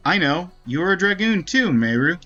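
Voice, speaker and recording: A man's voice: high-pitched, very animated, sharp tone, dull audio, exaggerated voice. A man voicing an ancient, solemn Wingly elder, wise and formal, in a dramatic read-aloud of a fantasy video game. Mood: resigned. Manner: slow, grave, formal delivery. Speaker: game streamer. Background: faint game music.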